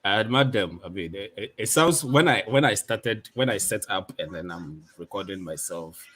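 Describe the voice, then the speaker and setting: Deep-voiced male creator, easygoing, stop-start talk, USB desk mic. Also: slightly rough. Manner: relaxed, halting, many restarts. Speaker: photographer and video creator. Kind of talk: casual live video call chat. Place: home studio with ring light. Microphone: USB desk microphone on video call.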